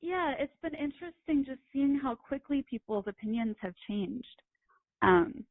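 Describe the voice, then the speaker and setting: A young woman, a guest writer, explaining her views in a measured, conversational way with occasional ums. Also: sits high, lively intonation, no room sound. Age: young adult. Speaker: guest, retail writer and editor. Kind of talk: casual interview chat. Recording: dry, phone-like line.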